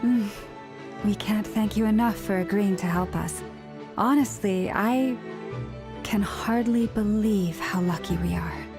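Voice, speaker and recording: A woman acting gentle game priestess, medium pitch, sincere, soothing, quietly confident, background music. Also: unhurried, lightly acted. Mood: grateful.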